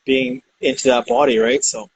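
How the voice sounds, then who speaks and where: A man living in Canada, chatting on a video call in a relaxed, easygoing way. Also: mid-range voice, moderate pace, background noise. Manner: laid-back, laughs often. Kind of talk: casual video call chat.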